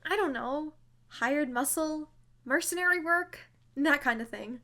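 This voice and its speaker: A woman's voice: high-pitched, measured pace, pushed and bright, exaggerated voice. A woman playing a bookish, tactful young woman in an audio drama. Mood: tentative.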